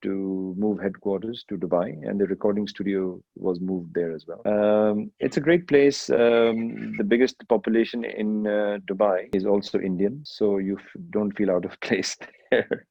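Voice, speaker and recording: A middle-aged man from Kenya, a singer answering interview questions in a relaxed way, slipping Hindi phrases into his English. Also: lowish voice, moderate pace, gravelly, dry recording, muffled recording. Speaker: rapper and singer.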